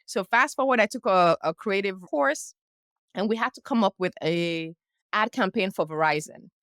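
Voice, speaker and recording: Brief: middle-aged woman from Nigeria, animated storyteller, mid pitch, dry close mic. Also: moderate pace, smooth, dry recording. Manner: lively, animated storyteller who acts out past dialogue. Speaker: guest, publicist and coach. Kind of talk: casual storytelling interview.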